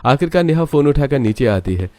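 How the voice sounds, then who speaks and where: A man's voice: medium pitch, animated, brisk, mellow, no room sound. A man reading scripted, dramatic narration for a cartoon story.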